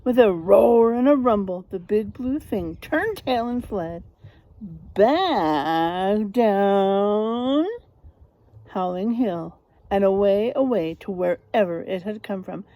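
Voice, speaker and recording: A woman reading a picture book aloud like a teacher, expressive and dramatic, pausing to put questions to young listeners. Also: medium pitch, no echo. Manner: animated, dramatic delivery with drawn-out words.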